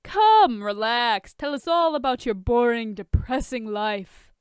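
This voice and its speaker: A woman's voice: a little high, animated, slow, clean tone, no echo, studio quality. A woman voicing a tipsy, brash male billionaire in a fan fiction reading, teasing and mock-dramatic.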